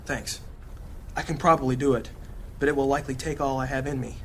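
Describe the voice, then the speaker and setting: A man's voice: mid-range voice, fairly even intonation, brisk, a little hiss or hum, lightly acted. A man playing an anxious, wry, weary psychic renegade in a fantasy audio drama. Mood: wry, doubtful.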